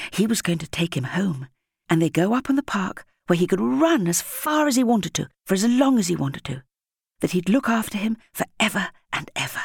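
Husky, expressive woman storyteller. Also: lowish voice, no room sound, studio quality. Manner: dramatic, deliberate phrasing with emphatic words. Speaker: audiobook narrator. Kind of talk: expressive scripted children's story narration.

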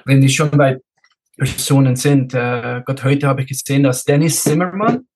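Halting male podcast guest from Switzerland. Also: medium pitch, a little mellow. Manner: halting, repeats words, drops in English words. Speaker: race director, interview guest. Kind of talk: casual podcast interview.

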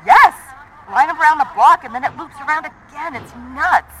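An actress playing a busy young festival staffer, chatty, excitable and distracted, in a naturalistic audio drama. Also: female voice, high voice, normal speed, crowd noise, natural voice, poor audio.

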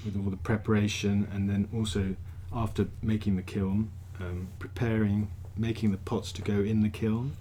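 A man in his forties, a potter, answering interview questions outdoors in a halting, thoughtful way with many restarts. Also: on the low side, flat delivery, warm tone, a little hiss or hum, rough recording. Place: outdoors by a kiln.

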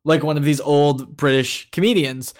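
Male podcast co-host, somewhat high voice, informal conversational delivery. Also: fairly even intonation, normal speed. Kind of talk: casual podcast chat about a cartoon.

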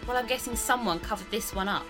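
An actress playing a frank, determined investigator's assistant in a naturalistic audio drama. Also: female voice, a little high, quick pace, over music, close to an everyday voice. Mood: brisk, dry. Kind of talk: naturalistic radio drama dialogue.

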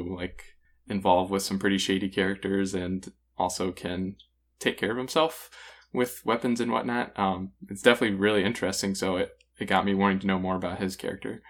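A man co-hosting a podcast, giving his thoughts in casual, conversational review talk. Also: sits low. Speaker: podcast co-host. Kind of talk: casual comic review discussion.

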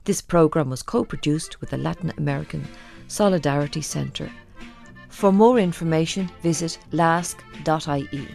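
A woman presenter from Ireland reading scripted radio narration in a measured way. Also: low voice, vocal fry, darkish tone, faint music behind.

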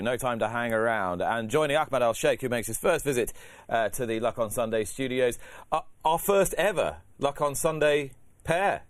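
A man hosting a racing talk show from a studio, with brisk, polished presenter delivery as he introduces guests and puts questions to them. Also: medium pitch, no echo. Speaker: podcast host. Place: radio studio.

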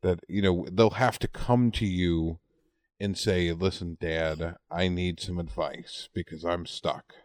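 A man in his forties from the United States with a fairly low voice, recorded dry with no room sound. He chats casually as a podcast co-host, often repeating words as he works through an anecdote. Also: fairly even intonation, takes it slowly, no echo.

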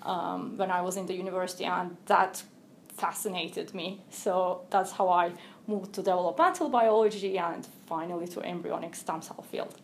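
A woman from Turkey, a researcher guest answering interview questions in a careful, explanatory way with thoughtful pauses. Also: fairly low pitch, steady tone, slight background noise.

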